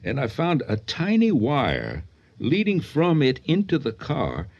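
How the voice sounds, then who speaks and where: An actor playing a steady man in a radio thriller, with a measured, dramatic delivery. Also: mid-range voice, muffled recording, full character voice. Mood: intent. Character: steady man recounting his narrow escape.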